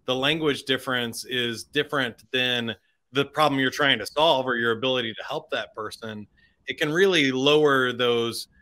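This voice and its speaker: A man hosting a podcast interview, asking questions in a relaxed, conversational way. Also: medium pitch, normal speed. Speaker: podcast host.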